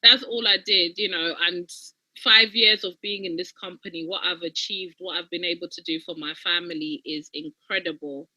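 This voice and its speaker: A woman's voice: lowish voice, fairly even intonation, normal speed, bright, forceful tone, no echo, low-quality recording. A thirty-year-old woman from Uganda giving a casual, effusive testimony, repeating points for emphasis. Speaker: guest giving a success testimony.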